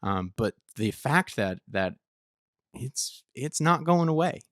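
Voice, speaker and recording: A man's voice: medium pitch, big pitch swings, takes it slowly, dry recording, studio quality. A man hosting a casual podcast, enthusiastic and easily amazed, often repeating and stressing his words as he feels his way through a sentence. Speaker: podcast host.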